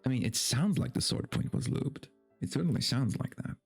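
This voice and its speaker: Guy streamer doing a dramatic reading, mock serious, exasperated sweary asides, medium pitch, music behind him. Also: lively intonation, fairly quick, gravelly, husky. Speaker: streamer reading a story aloud.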